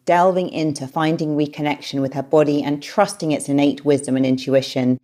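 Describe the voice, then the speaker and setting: A woman hosts her podcast with a strikingly low voice. She reads her introductions in a calm, measured way and turns brighter and chattier when she talks with a guest. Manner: measured, calm reading, livelier and higher in conversation. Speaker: podcast host and therapist. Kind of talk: scripted intro, then casual interview chat.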